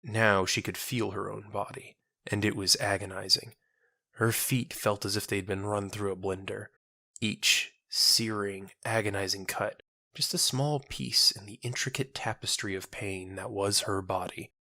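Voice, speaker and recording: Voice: low-pitched, fairly even intonation, measured pace, pushed and bright, no echo. Solemn story narrator, very dry close sound. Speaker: narrator of an audio drama. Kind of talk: scripted dramatic story narration.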